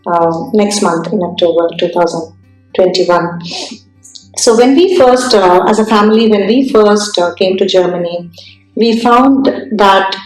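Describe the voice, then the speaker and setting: A woman's voice: mid-range voice, a little mellow. Thoughtful Indian woman, echoey room. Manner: thoughtful, many fillers and restarts. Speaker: entrepreneur guest. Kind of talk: interview, recounting career.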